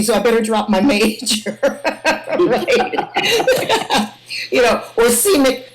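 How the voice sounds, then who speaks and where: Woman moderating in a halting, conversational way. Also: mid-range voice, rough recording. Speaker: host, panel moderator.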